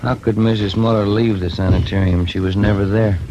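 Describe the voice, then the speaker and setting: An actor portrays a matter-of-fact police detective in a 1950s radio drama. His voice is on the low side, firm and plain. The old recording is muffled, with faint noise. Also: fairly even intonation, a little fast, natural voice. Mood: firm, calm.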